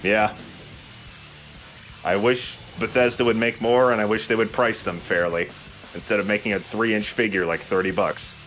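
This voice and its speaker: Low-voiced man giving casual game commentary. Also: fairly even intonation, moderate pace, a bit bright. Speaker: let's play host. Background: game music.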